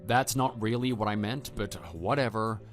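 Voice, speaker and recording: A man playing a level-headed, skeptical husband with a measured, hesitant delivery in an audio drama. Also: fairly low pitch, steady tone, faint music behind, close to an everyday voice. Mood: skeptical, controlled. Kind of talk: scripted texting-story radio drama.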